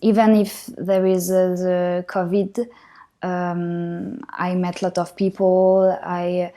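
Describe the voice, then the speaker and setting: A woman's voice: on the low side, flat delivery, takes it slowly, dark tone. A young woman giving a hesitant documentary interview answer, with many fillers. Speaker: exchange student.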